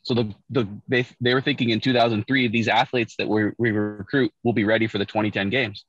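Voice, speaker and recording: A middle-aged man from Saskatchewan, Canada, talks through a video call from a parked car. He tells his story in a relaxed way, restarting sentences often and leaning on fillers. The audio is dull, muffled and close, with no room sound. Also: lowish voice, brisk, dry recording. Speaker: Olympic bobsledder guest. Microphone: video call, laptop or phone camera.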